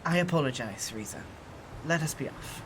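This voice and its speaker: An actor playing a dignified exiled shifter who speaks in formal, measured, old-fashioned phrases. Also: male voice, fairly high pitch, animated, heavy background noise, natural voice. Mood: solemn.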